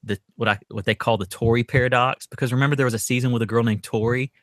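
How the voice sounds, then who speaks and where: Guy co-hosting a podcast, average pitch, just chatting, very dry room. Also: steady tone, fast, fairly bright tone, dry recording. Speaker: podcast co-host. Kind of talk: casual chat.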